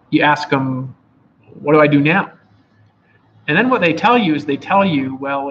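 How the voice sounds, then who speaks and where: A hoarse man giving a rambling, repetitive freestyle motivational talk to a live audience, often restarting his phrases. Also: a little high, fairly bright tone. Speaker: motivational speaker. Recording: dull lo-fi with faint background hiss.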